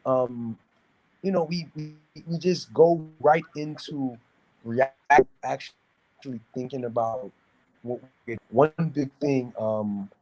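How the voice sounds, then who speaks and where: A man from New City, talking casually and giving opinions in a halting way, repeating words as he searches for phrasing. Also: medium pitch, warm tone, rough recording. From New York City, United States. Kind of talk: casual discussion, opinionated talk.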